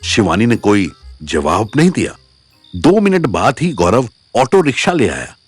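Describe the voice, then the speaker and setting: A man's voice: fairly low pitch, wildly swooping pitch, unhurried, husky. A man narrating a scripted audio story as its storyteller. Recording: music bed behind voice.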